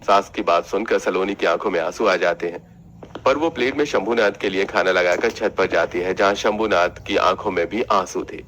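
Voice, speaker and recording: A man's voice: low-pitched, normal speed, fairly bright tone, slight background noise. A man delivering scripted story narration for a cartoon family tale.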